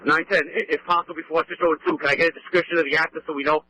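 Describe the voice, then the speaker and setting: A man speaks quickly over a police two-way radio, his voice bright and fairly high. He is clipped and commanding, coordinating units like an officer in the field. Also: steady tone, soft background music, low-quality recording. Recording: police scanner radio transmission.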